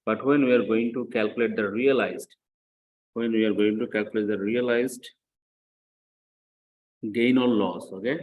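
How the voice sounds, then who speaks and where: Lower-voiced male lecturer explaining step by step. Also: steady tone, moderate pace, pauses often, narrow, tinny audio. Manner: didactic, repetitive, frequent check questions. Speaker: accounting lecturer. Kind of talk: classroom lecture, explaining worked example.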